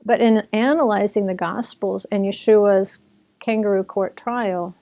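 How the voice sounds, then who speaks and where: This is a woman with a mellow, warm voice explaining history in an easy, conversational way. She talks like a teacher chatting with a friend, restarting sentences and circling back as she goes. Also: mid-range voice, unhurried. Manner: chatty, with restarts and occasional laughter. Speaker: author and Bible teacher.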